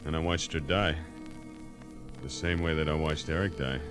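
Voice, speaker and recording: An actor playing a nervous, guilty man cornered by his old friend in a suspense drama, with halting, stammering delivery. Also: very deep voice, steady tone, over music. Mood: weary confession. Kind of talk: radio-style suspense drama acting.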